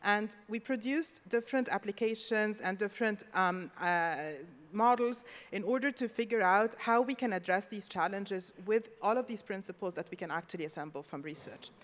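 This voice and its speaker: A woman's voice: mid-range voice, moderate pace. A woman lecturer on a conference panel, laying out examples in an organized way. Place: conference hall. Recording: long reverb from a large hall.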